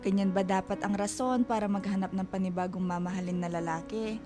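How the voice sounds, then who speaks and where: A woman's voice: mid-range voice, steady tone, brisk, over music, lightly acted. An actress playing a proud, self-reliant single mother in a Filipino radio drama, fondly scolding in a motherly way. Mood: firm, motherly. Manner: motherly, chiding.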